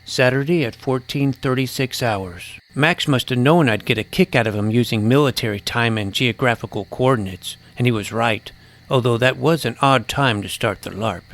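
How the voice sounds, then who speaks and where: A man's voice: mid-range voice, moderate pace, fairly bright tone. A man narrating a first-person scripted audio drama as its young protagonist, earnest and precise.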